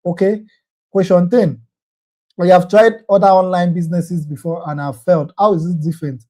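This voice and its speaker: A man, an online course instructor giving an earnest sales pitch, answering common questions and often repeating his phrases. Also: fairly even intonation, moderate pace, mellow. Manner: earnest, persuasive, repeats phrases, ends points with "okay?".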